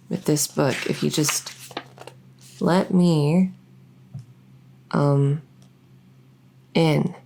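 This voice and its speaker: A woman playing an awkward, earnest fledgling vampire in an ASMR audio roleplay, halting and stammering as she tries to win the listener over. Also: low voice, creaky, mellow, heavily acted.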